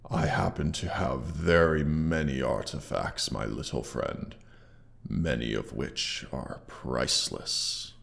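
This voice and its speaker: An extremely low, gravelly dragon speaks with haughty menace. He draws out his words very slowly, with theatrical pauses and a condescending air. The voice has monstrous processing, as in a fantasy audio roleplay. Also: heavily acted. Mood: smug, doubting. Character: injured, haughty male dragon guarding his treasure hoard. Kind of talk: scripted roleplay audio drama.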